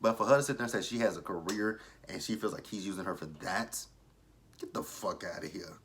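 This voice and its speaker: Blunt, forceful thirty-year-old man. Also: low-pitched, fairly quick, a little hiss or hum. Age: 30s. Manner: blunt, opinionated, swears freely. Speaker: TV show reviewer. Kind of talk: casual review vlog commentary.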